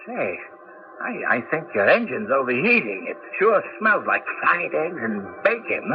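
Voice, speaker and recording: Hurried, fretful doctor, radio play. Higher register, flustered stammer, muffled broadcast recording. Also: male voice, big pitch swings. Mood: startled, amazed. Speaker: radio drama actor. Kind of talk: 1980s radio drama acting. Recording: dull, noisy old radio broadcast.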